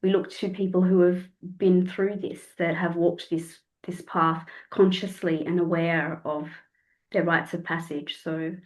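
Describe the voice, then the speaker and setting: A woman in her forties with a slightly croaky voice, reflecting as a guest in a casual podcast conversation. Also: on the low side, level tone, measured pace, low-quality recording. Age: 40s. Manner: reflective, searching for words, repeats phrases. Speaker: guest, counsellor and somatic sexologist.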